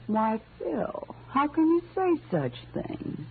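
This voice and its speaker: Scheming gold-digger, coy and sugary, highly animated pitch, narrow vintage radio sound. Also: female voice, slow pace, gravelly, exaggerated voice. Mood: wounded innocence. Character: scheming young gold-digging woman, feigning sweet innocence. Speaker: supporting actress. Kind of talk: 1940s radio acting. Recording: tinny, noisy old radio broadcast.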